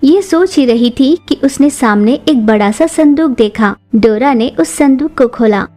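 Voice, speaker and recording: A woman's voice: high voice, lively intonation, a little slow, warm tone, soft background music. A woman narrating a scripted children's cartoon story.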